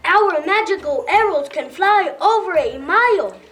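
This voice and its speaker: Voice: very high voice, animated, measured pace, fairly bright tone, heavily acted. A female performer playing a plain-spoken, easily baffled archer in a children's reader's theater play, on a noisy amateur recording.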